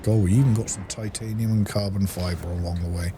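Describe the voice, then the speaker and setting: A man's voice: low voice, steady tone, fast talker, music in the background. A man giving casual gameplay commentary as a let's-play host, muttering to himself as he plays.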